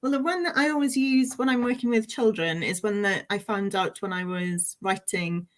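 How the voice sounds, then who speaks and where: A woman's voice: mid-range voice, steady tone, normal speed. A woman, a guest author chatting casually and playfully in an interview, with a self-deprecating, jokey manner.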